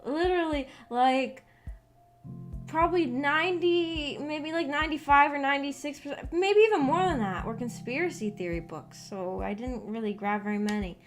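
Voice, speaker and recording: A woman's voice: sits high, normal speed. A young adult woman chatting casually on a live stream, rambling and hesitant, trailing off mid-thought. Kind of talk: casual rambling livestream chat. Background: background music with guitar.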